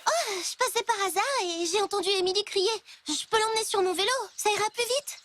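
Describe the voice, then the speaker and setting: Cartoon girl about ten, clever schemer, high and bright. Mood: helpful. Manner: playful spy-radio talk, quick and lively. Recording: cartoon dub with music behind.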